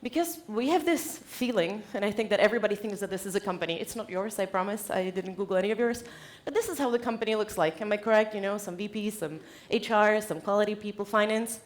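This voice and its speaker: A woman's voice: medium pitch, fast talker, hardly any pauses. A woman from the Czech Republic with a Czech accent, giving an informal motivational presentation to an audience in a playful, joking, conversational way. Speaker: conference keynote speaker.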